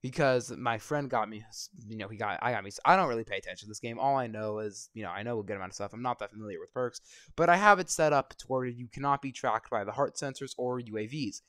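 A young man with a slightly hoarse voice, ranting and swearing constantly while commenting over gameplay. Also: medium pitch, fast, fairly bright tone, no echo. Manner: angry, swearing constantly, rambling. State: voice going hoarse. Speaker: gaming vlogger.

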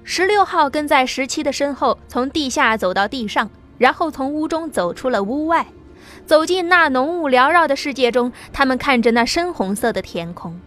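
A woman narrating an apocalyptic sci-fi audiobook in a lively, dramatic storytelling manner, with soft music underneath. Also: high voice, normal speed.

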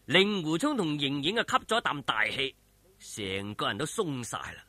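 A man with a Hong Kong Cantonese accent telling a story dramatically, with animated, suspenseful delivery. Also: fairly high pitch, normal speed, dry recording.

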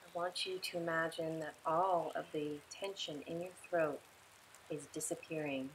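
A woman leading a guided relaxation meditation in a soothing, unhurried way, giving instructions in short, spaced phrases. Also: deep voice, steady tone, a little hiss or hum, rough recording.

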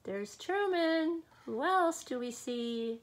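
A middle-aged woman reading a children's picture book aloud expressively, with dramatic pauses and casual asides to young listeners. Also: high voice, animated.

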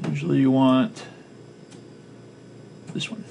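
A man giving informal, step-by-step instructional narration as a software tutorial instructor, with a gravelly voice. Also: medium pitch, little pitch movement, slow. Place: indoor voice-over for a screen recording. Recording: faint background noise.

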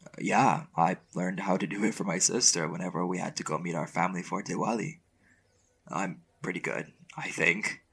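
Man playing a low, gravelly, bashful boyfriend. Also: steady tone, normal speed, fairly bright tone, exaggerated voice. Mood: shy, eager. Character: gruff, easily flustered young boyfriend, secretly soft. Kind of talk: intimate audio roleplay.

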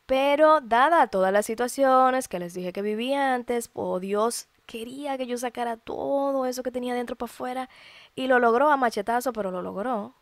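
A Dominican woman in her early thirties hosting a podcast, telling a personal testimony in a heartfelt, expressive way with colloquial asides. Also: high voice, no echo. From Dominican Republic. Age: early 30s. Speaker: podcast host.

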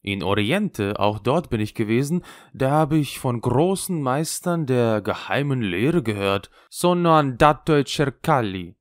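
A man's voice: medium pitch, animated, a little slow, few pauses, dry recording, close to an everyday voice. Guy doing a sly old italian trickster, mocking, voice swings all over, dry close sound. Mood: worldly. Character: cynical old male traveling conjurer, worldly.

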